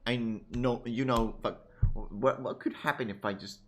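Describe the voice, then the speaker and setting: A man's voice: mid-range voice, steady tone, measured pace. A man giving casual, irreverent, sweary reaction commentary as he streams a game. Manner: irreverent, profane, interjecting. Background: game music.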